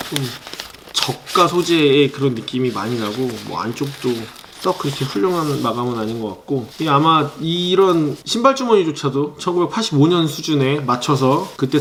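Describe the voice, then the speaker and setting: A man calmly walking through a sneaker review, explaining details as he handles the box and shoes, softening his opinions as he goes. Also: a little high, a little hiss or hum. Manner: calm, explanatory, hedging opinions; handling items while describing them. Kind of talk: product review vlog.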